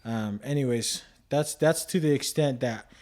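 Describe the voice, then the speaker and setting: A man's voice: medium pitch, a little slow, darkish tone. A man hosting a podcast, introducing his guest in a friendly, loose way with lots of fillers. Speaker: podcast host.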